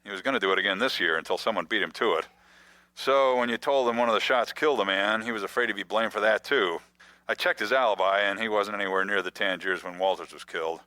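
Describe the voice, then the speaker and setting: A steady, no-nonsense cop in a radio mystery. The voice is lowish and hard-edged, recorded close and dry. He talks plainly, like someone giving a report. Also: fast, no echo. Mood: matter-of-fact. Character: matter-of-fact male police detective, firm and steady. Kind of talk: radio detective drama acting.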